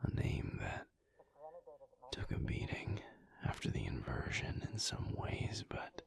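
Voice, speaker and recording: A man playing a calm, brooding alpha werewolf pack leader in an intimate audio roleplay, speaking thoughtfully in a breathy, gravelly near-whisper with halting pauses. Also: rumbling low, full character voice. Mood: wary, deliberate. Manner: slow, halting, pensive delivery.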